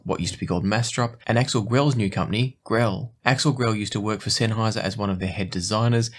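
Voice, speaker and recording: A man presenting a product review in a conversational, explanatory and even-handed way. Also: medium pitch. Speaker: host of an audio gear review show.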